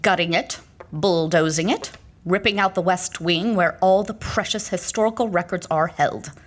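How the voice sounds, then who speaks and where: A stern, devoted librarian, played by a woman in an audio horror drama. She speaks in a fairly low voice and with a reproachful, measured firmness. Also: close to an everyday voice.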